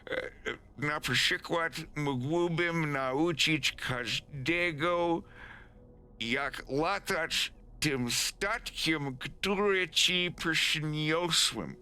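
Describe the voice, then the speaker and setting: A man voicing a desperate man who pleads for his life in halting, stammering repeats. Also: on the high side, pushed and bright, clear, resonant tone, pitched above the performer's own voice, heavily acted. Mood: slow, halting appeal.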